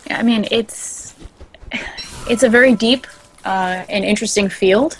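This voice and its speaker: A woman's voice: medium pitch, a little slow, a little hiss or hum, low-quality recording. A woman with a Boston accent, co-hosting a casual game stream, joking and laughing often as she explains game lore. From Boston area. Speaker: co-host. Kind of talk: casual stream chat over gameplay.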